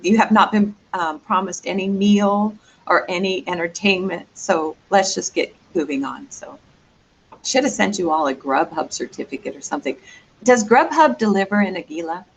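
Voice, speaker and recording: A woman's voice: mid-range voice, lively intonation, normal speed, a little hiss or hum, rough recording. A woman, a school administrator, leading a presentation in a chatty, joking way and inviting questions. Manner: joking, chatty, leads the meeting.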